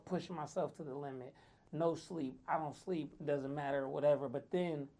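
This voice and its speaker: A man with a raspy voice, tired from too little sleep, talking casually to viewers with lots of fillers. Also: mid-range voice, a little mellow. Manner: chatty, loose, lots of fillers. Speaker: wellness vlogger. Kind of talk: casual talk to camera.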